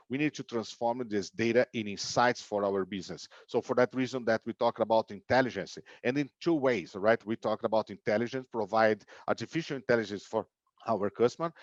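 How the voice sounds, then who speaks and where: A man's voice: medium pitch, no room sound. A man in his fifties from Brazil with a Brazilian Portuguese accent, giving an online business keynote with many fillers and "okay?" checks. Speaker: keynote speaker. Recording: dull lo-fi online call audio.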